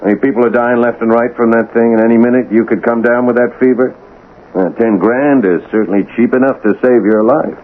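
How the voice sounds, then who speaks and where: An actor playing a blunt, cynical homicide lieutenant with a hard-boiled, skeptical manner, in a 1980s radio drama style. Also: male voice, medium pitch, steady tone, brisk, close to an everyday voice. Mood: cynical, pressing. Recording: tinny, noisy old radio broadcast.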